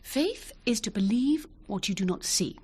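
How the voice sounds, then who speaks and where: A woman playing a stern, proud, exacting English wife in a radio drama, crisp and reproving. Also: on the high side, expressive, moderate pace. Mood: stiff, conciliatory.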